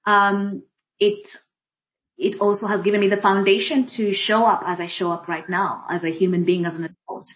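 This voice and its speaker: A woman with a Kenyan accent, whose first language is Swahili, speaks as a guest in a reflective discussion. Her mid-pitched delivery is deliberate, often rephrasing for emphasis. The audio is a little dull and lo-fi. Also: steady tone. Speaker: panel guest, diversity and inclusion practitioner. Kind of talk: reflective personal account in a group discussion.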